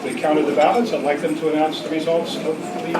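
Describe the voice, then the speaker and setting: Man, slightly high voice, hesitant meeting chair, informal announcements, distant in a noisy room. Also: level tone, fast, roomy sound. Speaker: club leader chairing a luncheon meeting. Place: club luncheon in a busy room. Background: loud room noise.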